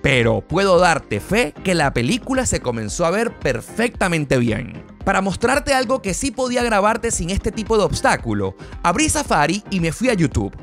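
A man's voice: high voice, big pitch swings, moderate pace, fairly bright tone, soft background music. A man narrating a scripted tech review in his vlog, with an enthusiastic storytelling manner. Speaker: tech vlogger.

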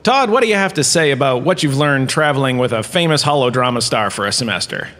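Animated, theatrical male lecturer in an echoing hall. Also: medium pitch, fast. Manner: self-satisfied, wry, dramatic, chatty asides. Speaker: host playing a university lecturer. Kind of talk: theatrical comedic scripted lecture. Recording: echoing hall with long reverb.

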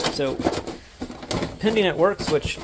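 A man's voice: fairly high pitch, animated, drawn-out words, very noisy. A man, vlogging in a storage unit and thinking aloud with lots of fillers and restarts as he sorts through items. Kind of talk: casual vlog narration while sorting items. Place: indoor self-storage unit.

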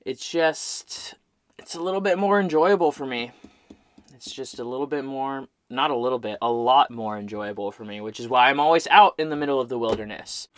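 A man in his thirties talks straight to his camera from a cramped truck camper. He sounds relaxed and chatty, in an everyday mid-range voice. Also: medium pitch, normal speed, dry recording. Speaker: vlogger. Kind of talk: casual solo camping vlog. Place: inside a small truck camper.

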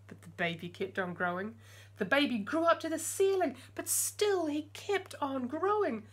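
A woman in her forties reading a picture book aloud expressively, with chatty asides to young listeners. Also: high voice. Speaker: storytime reader. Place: small room at home with bookshelves.

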